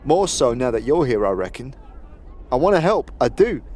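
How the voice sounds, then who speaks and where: A man's voice: medium pitch, very animated, normal speed, a little mellow. A man playing an anxious petty thief and informant who talks in street slang, in a fantasy audio drama. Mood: anxious, pleading.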